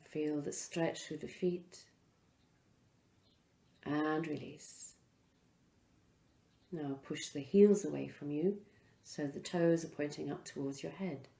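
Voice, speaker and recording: Slow, low-voiced woman guiding relaxation. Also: steady tone, gravelly, warm tone, roomy sound. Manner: unhurried, soothing, with pauses between phrases. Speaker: yoga relaxation instructor. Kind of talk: guided relaxation, slow calm instruction.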